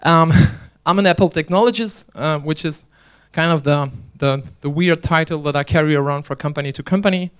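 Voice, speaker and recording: Clear-voiced man giving a joking conference talk. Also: a little high, normal speed, hardly any pauses, a bit bright. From Belgium. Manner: chatty, joking, restarts often. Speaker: conference speaker and organizer. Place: conference hall.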